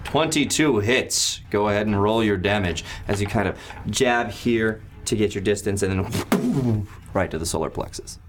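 Animated male game master over music. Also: on the low side, normal speed. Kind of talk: casual live tabletop game narration. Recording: music behind the voice. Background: background music.

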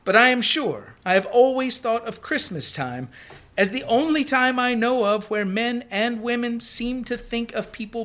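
A warm-hearted young nephew acted by a woman in a radio play. The voice is bright and forward, earnest and cheerful, pleading with real good will. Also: mid-range voice. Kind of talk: live radio play reading.

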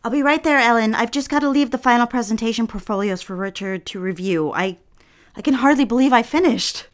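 An actress playing an anxious, romantic young bride-to-be in a period drama, speaking in a genteel, earnest, old-fashioned way. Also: female voice, a little high, fairly quick, close to an everyday voice. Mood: eager, nervous. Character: anxious young bride-to-be office woman, reflective romantic narrator. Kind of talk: dramatized first-person narration and dialogue, period radio drama.

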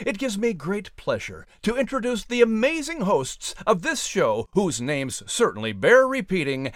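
Theatrical male announcer, highly animated pitch. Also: normal speed, no echo, studio quality. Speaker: show announcer. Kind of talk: scripted comic announcing.

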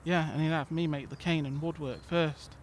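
A man plays a put-upon young lad in an audio drama, questioning everything with dry grumbles. His voice sits a little high, over faint background noise. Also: fairly even intonation, normal speed, lightly acted. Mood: wry. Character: sceptical, put-upon young male schoolmate.

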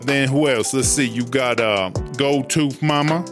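A man's voice: mid-range voice, measured pace, natural voice. A man playing a swaggering, streetwise female prison inmate in a comedy audio drama, cocky, slangy and offhand. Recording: loud music under the voice.

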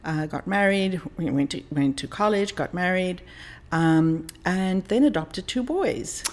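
A woman's voice: on the low side, expressive, a little slow. A woman in her sixties from South Africa, with a South African accent, chatting easily as a guest in a podcast interview about her life as a coach. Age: 60s.

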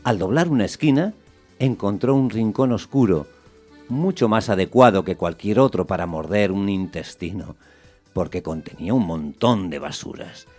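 An actor narrating a radio play as a measured storyteller, in a deliberate, literary, descriptive way. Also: male voice, fairly low pitch, animated, darkish tone, soft background music. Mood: wry, measured. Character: measured male storyteller, wryly observing a small dog.

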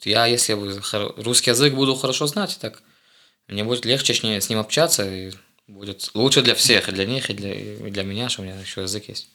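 Low bright-voiced young man, halting. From Israel. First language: Hebrew. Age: young adult. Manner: halting, searching for words, many restarts. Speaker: guest, host's eldest son. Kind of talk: casual conversation.

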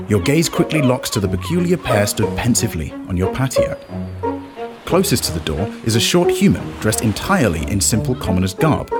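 A man runs a tabletop game as its game master with very varied intonation. He is descriptive and quick-witted, and loud music plays behind him. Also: on the low side. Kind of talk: narrating a tabletop role-playing game.

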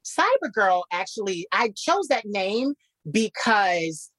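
A man with a naturally light voice, hoarse today and a little husky, telling his story animatedly as a guest. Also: slow pace, no echo. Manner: animated, repeats phrases, jumps high for quoted voices. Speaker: guest musician. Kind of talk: casual interview storytelling.

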